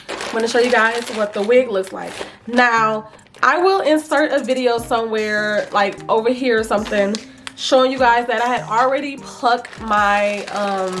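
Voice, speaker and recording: A woman vlogger chatting casually and playfully to viewers about beauty products, with a slightly raspy, froggy voice and an informal manner. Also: a little high. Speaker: vlogger reviewing wigs.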